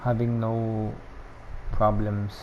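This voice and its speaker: Slow-talking, very low-voiced woman gamer. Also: little pitch movement, lo-fi sound, noisy background. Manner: laid-back, murmured short reactions. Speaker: gamer commentator. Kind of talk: casual gameplay commentary. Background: game music.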